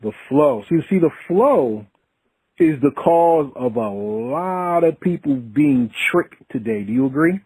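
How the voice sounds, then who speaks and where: A man's voice: a little high, animated, unhurried, slightly rough, no echo, narrow, tinny audio. A man hosting a hip-hop podcast, asking questions in an earnest, preachy way. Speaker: podcast host. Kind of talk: casual interview.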